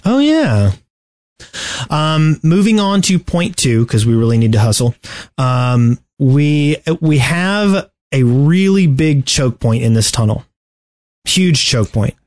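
Halting, deliberate man in casual discussion. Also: a little high, very animated, darkish tone. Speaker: podcast co-host. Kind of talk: casual video game discussion.

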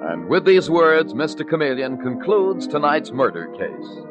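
A man's voice: fairly high pitch, very animated, a little slow. A man announcing in scripted 1940s radio style. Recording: dull vintage radio recording over music. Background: music.

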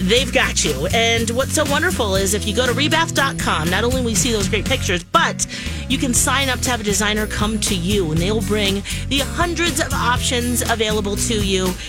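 A woman radio host giving an enthusiastic, personable, conversational sponsor endorsement. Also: on the high side. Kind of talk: conversational ad read. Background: loud music bed.

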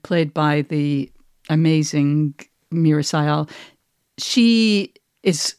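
A woman hosting a conversational podcast interview, speaking in a measured, appreciative way. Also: low-pitched, darkish tone, no room sound, clean studio sound.

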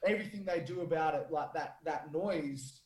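Male fight coach, hoarse and croaky, stop-start casual delivery, fairly high voice, echoey recording. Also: a little mellow. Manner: halting, lots of fillers and restarts. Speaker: fight gym trainer. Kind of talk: casual podcast chat. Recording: roomy echo.

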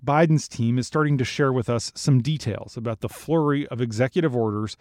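A man's voice: medium pitch, a little fast, darkish tone, no room sound. American man reading news, close and dry. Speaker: news podcast host. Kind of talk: scripted news narration.

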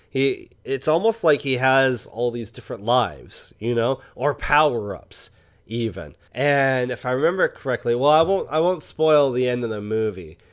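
A man co-hosting a film podcast in casual conversation, often halting mid-thought as he talks. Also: medium pitch. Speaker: podcast co-host and film reviewer.

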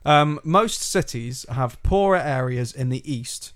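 Lancashire-accented male co-host, hesitant and halting in casual chat. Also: mid-range voice, expressive, fairly bright tone. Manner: hesitant, stammers over repeated words. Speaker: podcast co-host.

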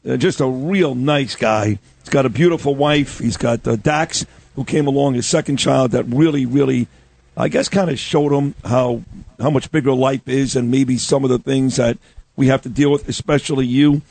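A man's voice: mid-range voice, normal speed, creaky, darkish tone, dry recording. A man hosting a morning show, introducing a guest in a rambling, chatty way. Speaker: radio show host.

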